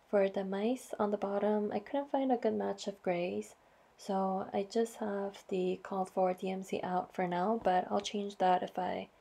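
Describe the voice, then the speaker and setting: Female vlogger, mellow and a little gravelly, slightly hoarse from a cold, chatty show-and-tell voice-over. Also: mid-range voice. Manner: soft, chatty, with fillers and small apologies. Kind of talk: casual show-and-tell narration.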